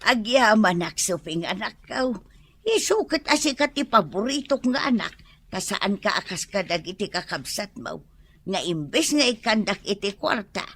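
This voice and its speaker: A woman's voice: mid-range voice, very animated, normal speed, fairly bright tone, slightly rough, exaggerated voice. A woman playing a whiny, scheming elderly mother in an Ilocano comedy radio drama, melodramatic and complaining, with an Ilocano accent. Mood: wheedling.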